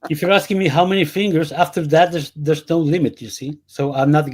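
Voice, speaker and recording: A man from Chile, a panel guest talking in a halting, defensive way and repeating himself in casual banter. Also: fairly high pitch, steady tone, a crowd in the background.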